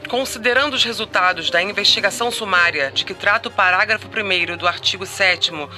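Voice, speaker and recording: Piercing, stern official announcer. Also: male voice, high-pitched, quick pace, sharp tone, soft background music. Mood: solemn, official. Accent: Brazilian. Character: stern official announcer proclaiming a dismissal list. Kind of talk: formal announcer reading a decree and roll of names.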